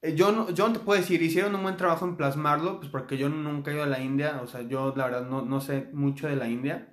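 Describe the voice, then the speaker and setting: A man's voice: a little high, fairly even intonation, fast talker, echoey room. A Mexican man co-hosting a film podcast, talking casually and rambling with lots of fillers. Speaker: podcast co-host. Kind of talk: casual chat.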